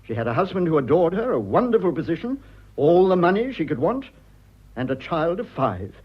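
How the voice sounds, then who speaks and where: Fussy, husky upper-class English husband, very expressive. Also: male voice, fairly high pitch, a little fast. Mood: bitter, indignant. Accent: British upper-class. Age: 30s. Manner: pompous, exasperated, prim. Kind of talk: 1920s drawing-room comedy in radio drama. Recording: dull lo-fi old radio recording.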